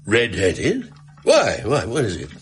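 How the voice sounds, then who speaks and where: An actor playing a fussy, gullible middle-aged pawnbroker in a radio drama, rambling and deferential. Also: male voice, on the low side, wildly swooping pitch, slow, heavily acted. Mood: curious. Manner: rambling, hesitant, chuckling, deferential. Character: fussy, garrulous, gullible widowed London pawnbroker. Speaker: client telling his story. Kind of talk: British radio drama acting.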